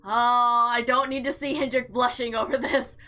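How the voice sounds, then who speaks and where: A woman hosting a visual novel playthrough, reading aloud with expressive, playful asides and casual commentary. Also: fairly high pitch, moderate pace, faint music behind. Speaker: gaming video host.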